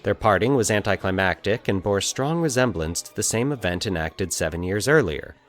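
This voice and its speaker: A man narrating a scripted, eerie fiction story as an expressive storyteller. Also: lowish voice, normal speed, faint music behind.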